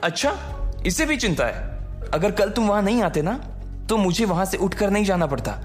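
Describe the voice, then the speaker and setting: Man voicing an upset young woman, high and accusing. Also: animated, moderate pace. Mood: angry, accusing. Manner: sharp, accusing, emotional. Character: distressed, angry young college woman, tearfully scolding. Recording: background music under the voice.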